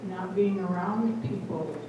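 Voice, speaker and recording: A woman in the audience offering a thoughtful, halting comment at a parish talk. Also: mid-range voice, muffled recording. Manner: halting, thoughtful, many pauses. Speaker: audience member. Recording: echoey hall, distant mic, noisy.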